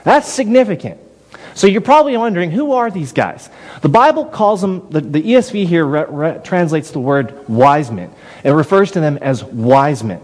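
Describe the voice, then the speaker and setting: A man's voice: fairly high pitch, expressive, moderate pace. A man preaching a sermon in a conversational way, often repeating and restarting words. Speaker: pastor.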